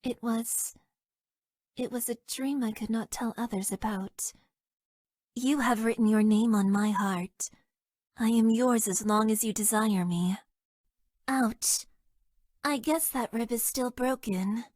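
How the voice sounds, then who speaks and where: A young female mecha pilot from a video game, speaks in a high, slow voice. She is detached and deadpan, with formal, hesitant phrasing and a shy affection underneath. The recording is dry and close. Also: no echo. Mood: deadpan, resigned. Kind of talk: video game character voice lines.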